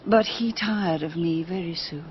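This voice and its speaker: A woman's voice: on the low side, measured pace, lightly acted. A woman playing a proud, unhappy wife with an English accent, poised, formal and restrained in a radio drama. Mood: wistful, candid. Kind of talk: British radio drama acting. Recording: muffled radio drama with birdsong and noise.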